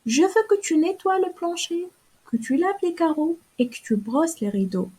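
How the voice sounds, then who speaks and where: A woman's voice: very high-pitched, very animated, normal speed, dark tone, pitched above the performer's own voice. A woman doing a cold, commanding stepmother. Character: stern, cold stepmother giving orders.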